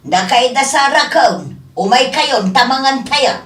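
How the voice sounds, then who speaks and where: A woman's voice: high voice, expressive, a little slow. A woman playing a practical middle-aged wife and neighbour in an Ilocano radio drama, inquisitive and matter-of-fact. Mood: curious.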